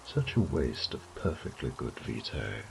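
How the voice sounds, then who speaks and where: Man acting a deep, gravelly, unhurried vampire lord. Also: big pitch swings, background noise, heavily acted. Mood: cold, savoring. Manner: theatrical, taunting, drawn-out phrasing with breathy whispers. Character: sadistic, condescending male vampire lord. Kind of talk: scripted roleplay audio drama monologue.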